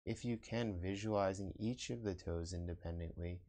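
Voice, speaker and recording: A man leading a guided meditation as a teacher, speaking gently and encouragingly in short phrases with pauses. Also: low voice.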